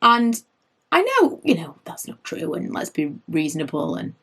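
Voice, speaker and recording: Chatty slightly hoarse woman vlogger. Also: deep voice, normal speed. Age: late 30s. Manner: rambling, goes off on tangents; animated, rises when indignant. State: slightly hoarse, losing her voice. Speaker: beauty vlogger. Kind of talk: chatty makeup favourites vlog.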